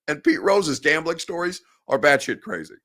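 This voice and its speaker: A man's voice: fairly high pitch, big pitch swings, normal speed, loud music behind the voice. A man in his thirties with a stuffy, congested voice hosting a sports podcast, reading viewer comments in a blunt, sarcastic, rambling way. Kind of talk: casual sports talk, reading live comments.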